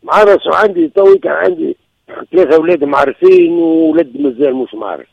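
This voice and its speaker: A man's voice: a little high, huge pitch swings, quick pace, vocal fry, husky, no room sound, highs cut off. A man in his sixties with a Tunisian accent, calling in and telling of trouble in his marriage, emphatic and repeating himself. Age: 60s. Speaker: caller. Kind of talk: recounting a personal marital problem.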